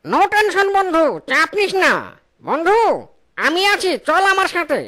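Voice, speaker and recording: A woman's voice: very high-pitched, expressive, measured pace, pushed and bright, full character voice. A woman voicing a confident, reassuring male fox friend in a comic cartoon. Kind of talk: Bangla comic cartoon voice acting.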